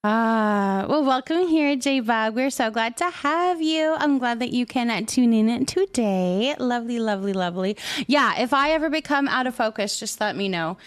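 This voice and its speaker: High-voiced woman chatting casually on livestream. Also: lively intonation, normal speed, smooth, no echo. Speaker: livestream host. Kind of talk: casual livestream chat, greeting viewers and reading comments aloud.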